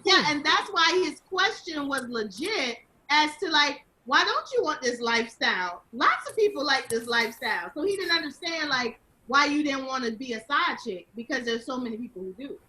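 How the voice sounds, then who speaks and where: Brief: high, bright woman, earnest, reasons things through. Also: lively intonation, normal speed, hardly any pauses, rough recording. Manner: earnest, argues point by point. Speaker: comedian guest. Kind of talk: comedic casual chat. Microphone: video call.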